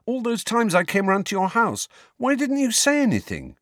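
A man's voice: high voice, huge pitch swings, normal speed, a bit bright, no room sound, studio quality, pitched above the performer's own voice, full character voice. A man voicing an anxious teenage boy in an audiobook, asking questions in a strained, breathless, urgent way. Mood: panting, puzzled.

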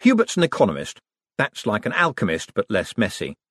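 A man's voice: fairly low pitch, huge pitch swings, fairly quick, a bit bright, dry recording, clean studio sound, exaggerated voice. A man voicing a glib, persuasive male con man turned banker. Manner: smooth and persuasive.

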